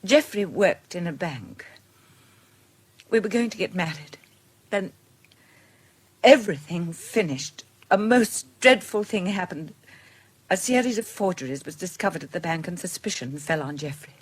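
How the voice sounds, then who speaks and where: An actress playing a gentle, independent middle-aged British woman in a period radio drama, speaking earnestly and tenderly with careful articulation. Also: fairly low pitch, expressive, normal speed, pauses often, breathy edge. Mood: wistful, regretful. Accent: British English.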